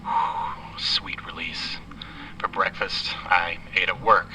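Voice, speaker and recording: A noisy, off-mic field recorder playback of a very deep male voice. He is a sleepless, self-pitying musician rambling through a deadpan spoken diary. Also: takes it slowly, recorded from a distance, exaggerated voice. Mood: bitter, deadpan. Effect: played back from a field recorder.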